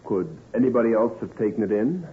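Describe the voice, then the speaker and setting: A man playing a brisk, solicitous doctor in an old-time radio drama, emphatic and reassuring in his talk. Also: on the low side, animated, narrow, tinny audio, natural voice. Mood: brisk, inquiring. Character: male doctor, brisk, solicitous friend and adviser.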